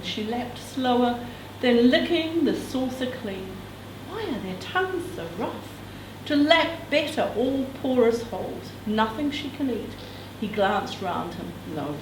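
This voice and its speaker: Female reader, expressive literary delivery with informal asides, higher register, roomy distant sound. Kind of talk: reading a novel extract aloud with casual asides. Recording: echoey room, distant mic, background noise.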